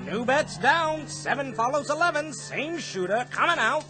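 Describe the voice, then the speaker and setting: Casino dealer man, very high sing-song calls, lively, music behind. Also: moderate pace. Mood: brisk. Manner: chanting table calls. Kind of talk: radio drama acting. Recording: radio play with music underneath.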